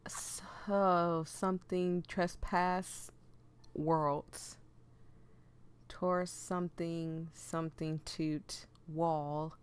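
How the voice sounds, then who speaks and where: A woman's voice: deep voice, smooth. A woman hosting a casual let's play, reading game text aloud and chatting apologetically to viewers as she breaks off her own sentences. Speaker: gaming video host.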